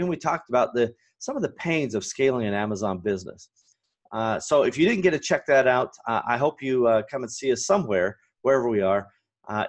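A man in his forties hosting a solo business podcast from a home office, his voice hoarse and raspy, talking with energy and going off on rants. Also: medium pitch. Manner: energetic, ranting, fillers and restarts. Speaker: podcast host.

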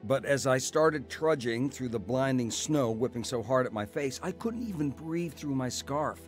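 An actor playing an earnest, emotional brother telling of his ordeal in a radio drama, with halting delivery. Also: mid-range voice, expressive, soft background music, lightly acted. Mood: reflective. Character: anguished, repentant brother narrating his blizzard ordeal. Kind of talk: radio drama acting and narration.

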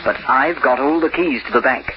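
A man's voice: medium pitch, moderate pace, natural voice. A man playing a scheming, insistent bank embezzler in an old-time radio noir style. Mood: urgent, persuasive. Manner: insistent, persuasive. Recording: noisy, muffled old radio recording.

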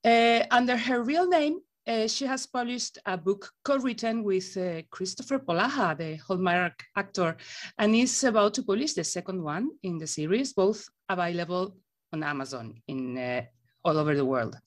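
A woman's voice: medium pitch, animated, normal speed. Woman interviewing on a headset. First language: Spanish. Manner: hesitant, with many fillers. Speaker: interviewer, host of the channel. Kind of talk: video call interview.

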